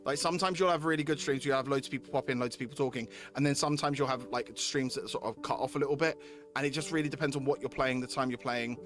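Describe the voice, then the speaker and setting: A man's voice: medium pitch, fairly even intonation, quick pace. A man live-streaming a game, chatting casually with viewers, repeating himself and reading story text aloud. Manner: repeats phrases while addressing chatters.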